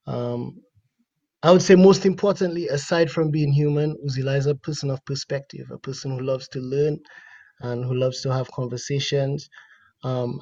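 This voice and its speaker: A man from Dominica with a Dominican accent, a musician guest talking in an earnest, thoughtful, measured way. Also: mid-range voice, darkish tone. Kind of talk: casual interview chat. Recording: dry, dull lo-fi sound.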